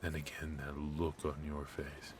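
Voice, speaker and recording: A man's voice: a bass voice, monotone, unhurried. A man playing a cocky, ruthless and protective mafia boss in a noir ASMR roleplay, with an intimate, teasing delivery. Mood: teasing, dry. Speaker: voice actor.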